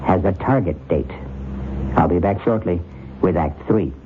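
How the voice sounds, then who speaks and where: A male host narrating an old-time radio mystery in a grave, measured, suspenseful manner. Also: low-pitched, background noise. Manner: portentous, measured. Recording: muffled old radio broadcast.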